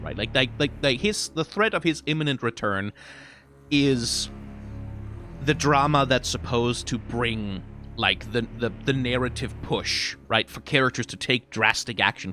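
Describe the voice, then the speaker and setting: A man's voice: medium pitch, pushed and bright. Man rambling through casual commentary over music. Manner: rambling, thinking aloud, often checks with "right?". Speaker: streamer giving commentary on game lore. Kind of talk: casual off-the-cuff analysis.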